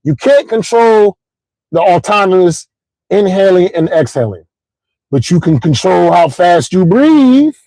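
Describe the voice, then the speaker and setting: A middle-aged man hosts a casual stream, swinging up and down through a high, mellow voice with a gravelly edge. He talks in a looping, motivational way, doubling back on his words and checking in with his listeners. The sound is close and dry. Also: measured pace, no echo, low-quality recording. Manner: animated motivational rambling, repeats words, says "you know what I'm saying". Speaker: host. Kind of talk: casual livestream talk.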